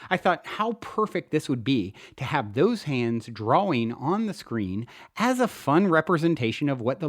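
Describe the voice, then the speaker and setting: Male author-narrator, mid-pitched voice, widely varied intonation, earnest, first-person audiobook reading. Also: no echo, clean studio sound. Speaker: author and show host reading own book.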